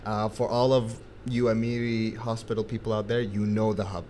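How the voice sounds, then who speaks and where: A man's voice: fairly low pitch, level tone, fairly quick, a little mellow. A young man hosting a casual podcast, chatty, with many fillers and frequent laughs. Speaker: podcast host. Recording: faint background noise.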